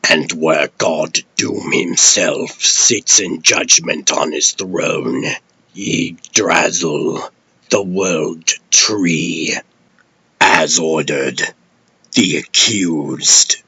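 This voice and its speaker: A man voicing a grave, solemn guardian in a comic dub, husky, formal and measured. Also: very deep voice, pushed and bright, heavily acted, poor audio. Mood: grand, solemn. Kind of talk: comic dub character acting.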